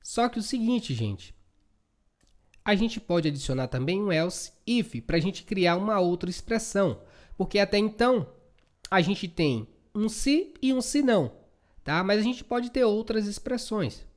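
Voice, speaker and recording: A man's voice: high voice, animated, measured pace. A Brazilian man giving an informal, chatty coding tutorial, often checking in with short tag questions. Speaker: programming instructor.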